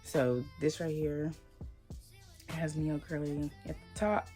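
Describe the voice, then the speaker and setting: Chatty woman with a slightly hoarse voice. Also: low voice, steady tone, slow pace, dark tone, faint music behind. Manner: chatty, rambling, lots of fillers. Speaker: hair vlogger. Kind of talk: casual product review chat.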